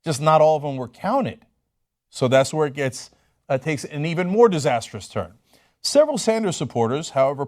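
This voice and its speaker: A man gives political commentary in a conversational tone, reading reports aloud and explaining them as he goes. His voice sits a little high and moves around a lot in pitch. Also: a little mellow.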